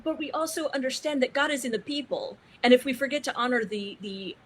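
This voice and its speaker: A woman's voice: high-pitched, animated, moderate pace. A woman speaking as a panel guest on a talk show, emphatic and reflective.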